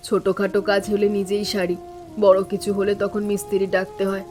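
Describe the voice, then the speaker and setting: Cartoon elder daughter-in-law, female actor: patient village housewife, level delivery, mid pitch, calm, resigned, reassuring, light music behind. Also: fairly quick, close to an everyday voice. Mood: resigned, dutiful. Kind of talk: animated family story acting.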